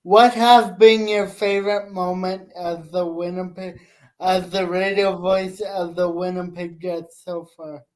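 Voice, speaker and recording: A young man hosts a sports interview and puts simple questions to his guest. He talks very slowly, taking his time over each phrase. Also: high-pitched, flat delivery, darkish tone. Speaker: show host, interviewer.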